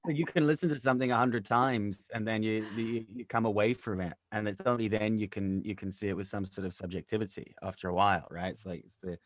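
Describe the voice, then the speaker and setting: A man's voice: fairly low pitch, steady tone, quick pace, few pauses. A man talking casually in an interview as a director, repeating phrases as he searches for words. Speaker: audio drama director.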